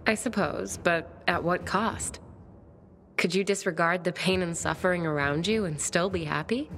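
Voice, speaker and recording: An actress playing a pensive, sensitive young bookish survivor in a video game, speaking in measured, formal phrases. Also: female voice, fairly low pitch, a bit bright, a little hiss or hum. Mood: probing, reserved. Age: young adult. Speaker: game character. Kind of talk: video game cutscene dialogue.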